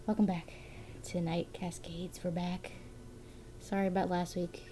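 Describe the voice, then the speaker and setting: A woman's voice: fairly low pitch, level tone, a little slow, mellow, slight background noise. A young adult woman casually commentating a game, rambling and laughing often, a bit sniffly from allergies. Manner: rambling, laughs often, restarts her words. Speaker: game streamer. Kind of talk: casual let's play commentary.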